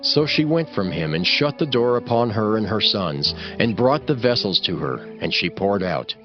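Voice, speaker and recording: A man's voice: fairly low pitch, lively intonation, normal speed, dull audio, faint music behind. A man narrating a scripture audiobook in a formal, solemn storytelling manner.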